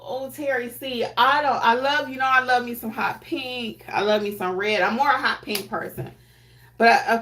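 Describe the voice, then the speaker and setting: A woman hosting a notary training livestream, chatting candidly in casual slang, reading and answering viewer comments in a quiet voice. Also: on the high side. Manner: says she has her quiet voice on.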